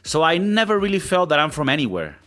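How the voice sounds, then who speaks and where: A man's voice: on the high side, big pitch swings, quick pace, bright, forceful tone. A man in his thirties with a Spanish accent, co-hosting a casual podcast, rambling, swearing and stumbling over restarts. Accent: Spanish-speaking. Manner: rambling, stammering restarts, swearing, mimics other people. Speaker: podcast co-host. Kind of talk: casual chat.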